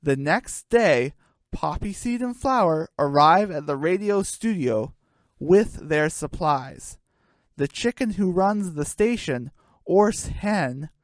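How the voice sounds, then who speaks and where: Animated woman narrating a radio story. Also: lowish voice, dry recording. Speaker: narrator of a comic radio play.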